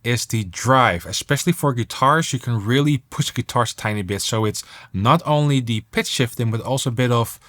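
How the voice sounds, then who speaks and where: A man presenting a software tutorial on music production in a casual, enthusiastic and emphatic way. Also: mid-range voice, fairly quick, hardly any pauses.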